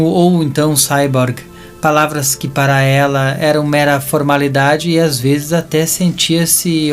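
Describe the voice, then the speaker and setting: A man with a Brazilian accent narrating a scripted story dramatically, as in an audio drama. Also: a little high. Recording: music bed behind narration.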